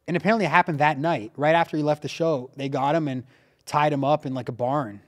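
A man hosting a casual podcast, telling stories in an animated way with lots of filler words like "like" and "dude.". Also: a little high, fast talker. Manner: animated storyteller, heavy use of "like" and "dude". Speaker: podcast host.

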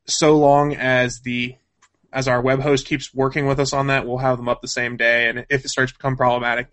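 A man's voice: medium pitch, a bit bright. A man hosting a casual, off-the-cuff podcast, chatting informally about news and plans. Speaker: podcast host.

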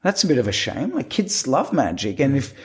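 A middle-aged man speaking English with a Quebec French accent. He is an interview guest who talks loosely and circles back, full of fillers and restarts. His voice sits in an ordinary middle pitch. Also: very animated, brisk. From Quebec, Canada. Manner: rambling, many restarts and fillers. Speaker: guest, professional magician. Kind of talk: casual interview chat.

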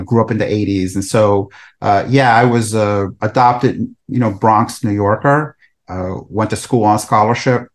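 Soft-trailing low-voiced man. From El Salvador. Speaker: author and researcher guest. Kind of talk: conversational interview answers.

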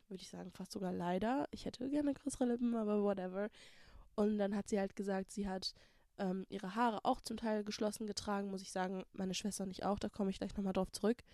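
A woman's voice: medium pitch, dark tone, dry recording, studio quality. A young woman in her twenties from Germany, hosting a personal monologue, talking candidly and with emphasis, dropping English words into her German. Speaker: podcast host.

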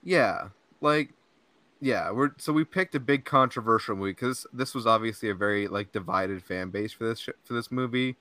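A man's voice: on the low side, normal speed, pushed and bright, no room sound. Laid-back rambling young man. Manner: rambling, laughs often, says "like" and "dude" a lot. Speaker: podcast host. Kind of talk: casual chat. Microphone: podcast mic.

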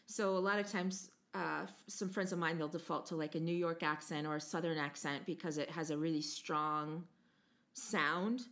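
A woman's voice: sits low, fairly even intonation, normal speed, fairly bright tone. An American woman co-hosting a podcast, chatting casually, laughing often and slipping into playful mock accents. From United States. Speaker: podcast co-host.

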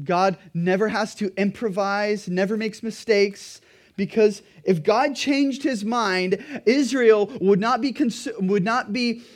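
A pastor preaching a sermon in an earnest, emphatic way, his throat a little dry and raspy. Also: high-pitched.

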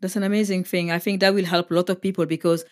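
A woman's voice: on the low side, level tone, fast, darkish tone, no echo, clean studio sound. A woman hosting an interview podcast, talking with effusive praise for her guests. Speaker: podcast host.

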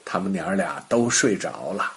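A man narrating a children's bedtime story in an expressive, unhurried way, with a husky, breathy voice. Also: lowish voice. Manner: animated, unhurried, child-directed.

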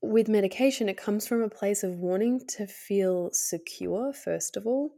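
A woman's voice: mid-range voice, normal speed, gravelly, dark tone. A woman hosting a podcast in a casual, candid, hesitant way, her voice nasal and slightly scratchy from a cold. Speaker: podcast host. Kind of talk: casual solo podcast talk.

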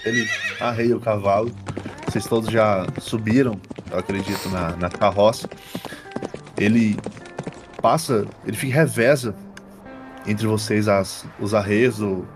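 A man with a Brazilian accent, improvising narration as game master in casual, slangy speech. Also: on the low side. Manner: informal, uses slang and swearing.

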